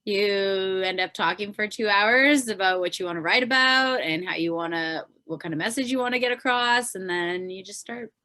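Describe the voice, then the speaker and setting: A woman's voice: mid-range voice, normal speed, few pauses, bright, forceful tone. A woman with a Canadian accent speaking as an interview guest, informal and rambling, often trailing off. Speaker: podcast guest, pop singer-songwriter. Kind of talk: casual interview chat.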